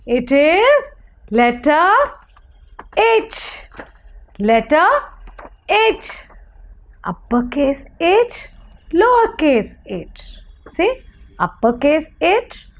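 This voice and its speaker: Very high, animated woman teaching children slowly. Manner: animated, encouraging, sing-song teaching with repetition and questions. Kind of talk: children's phonics lesson, speaking to young viewers.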